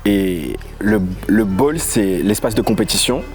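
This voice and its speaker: A young man from Paris, a dance guest in a casual interview who talks in a lively, playful way with quick asides. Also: on the low side. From Paris, of Congolese origin. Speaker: guest, voguing dancer and house leader. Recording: faint music underneath.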